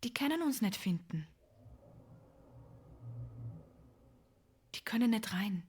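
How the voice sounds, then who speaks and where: An actress playing a protective, guarded mother in an audio drama, speaking quietly and tersely with a breathy hush. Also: fairly high pitch, expressive, fairly quick, slight background noise, close to an everyday voice. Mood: soothing, hushed. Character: guarded, protective mother sheltering her young child. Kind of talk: naturalistic audio drama acting.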